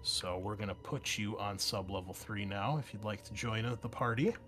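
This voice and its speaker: A man's voice: on the low side, normal speed, a bit bright, soft background music. A man running a tabletop roleplaying game, narrating scenes and explaining rules to players in a casual, informal way.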